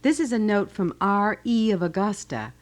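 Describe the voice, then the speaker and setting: A woman's voice: medium pitch, animated, normal speed. A middle-aged American woman co-hosting a radio show, reading listener letters with casual banter. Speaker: radio co-host. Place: radio studio. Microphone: studio broadcast microphone.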